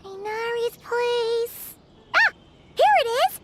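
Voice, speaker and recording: A woman's voice: very high-pitched, big pitch swings, takes it slowly, exaggerated voice. A woman voicing a tiny, nosy floating female companion who talks about herself in the third person, in a game dub. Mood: excited. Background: game music.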